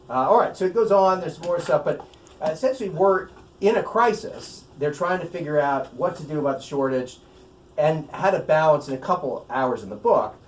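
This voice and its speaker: A man giving a live reading from his own novel as its author, breaking off for wry explanatory asides. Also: medium pitch. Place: room at a live bookstore event. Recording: roomy, distant mic, faint noise.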